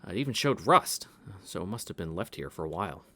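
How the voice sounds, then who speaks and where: Low male voice, reads game narration aloud, casual asides, lively intonation. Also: a little fast. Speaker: let's-play host. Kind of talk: reading a visual novel aloud.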